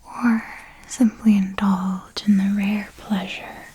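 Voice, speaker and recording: A woman playing an elegant, seductive vampire mentor in an ASMR roleplay, speaking in a soft breathy whisper with formal, deliberate, intimate phrasing. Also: medium pitch, little pitch movement, a little hiss or hum, close to an everyday voice. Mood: hushed, alluring. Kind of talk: ASMR roleplay, scripted monologue.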